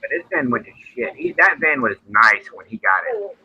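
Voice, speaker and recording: A man's voice: on the high side, wildly swooping pitch, moderate pace, vocal fry, husky. A forty-year-old man in casual chat, telling a rambling story. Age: 40s. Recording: dull lo-fi sound with faint noise.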